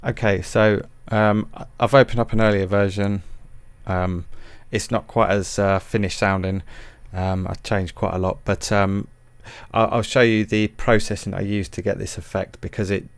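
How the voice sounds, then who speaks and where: A man's voice: on the low side, level tone, normal speed, clear, resonant tone, no echo. A man narrating a music production tutorial in a casual, hesitant, self-deprecating way.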